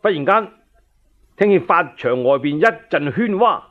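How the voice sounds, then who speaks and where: A tinny, phone-like radio recording carries a male storyteller with a fairly high voice. He narrates a historical tale in colloquial Cantonese, speaking directly to his listeners. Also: lively intonation, measured pace.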